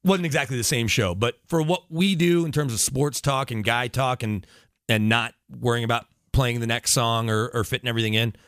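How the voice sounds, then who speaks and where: A man's voice: medium pitch, brisk, fairly bright tone, smooth, dry recording, clean studio sound. An American man, a sports radio broadcaster guesting on a podcast, chatting casually and emphatically with lists and asides.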